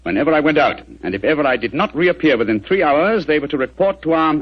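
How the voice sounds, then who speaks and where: English gentleman detective, formal, crisp wording, composed, animated pitch, 1940s radio play, muffled. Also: fast talker. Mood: brisk. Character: Sherlock Holmes, composed, clever, courteous English detective. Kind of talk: 1940s radio drama acting. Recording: dull, noisy 1940s radio recording.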